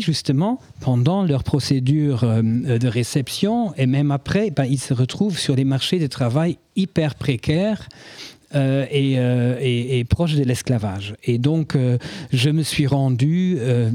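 A man with a foreign accent, speaking a language that is not his own as a guest at a public talk. He is halting and searches for his words with many fillers. His mellow voice sounds dry and close. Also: medium pitch, animated, no room sound. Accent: foreign accent in French. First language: not French. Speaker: guest anthropologist. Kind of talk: talk at a public book event.

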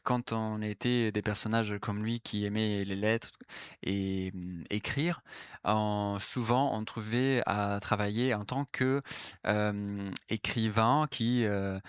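Slow, halting man with an Italian accent. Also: fairly low pitch, clean tone, dry recording, studio quality. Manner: hesitant, many filler sounds and restarts; apologetic, polite. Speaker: presenter giving a class talk. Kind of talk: explanatory talk on literary history.

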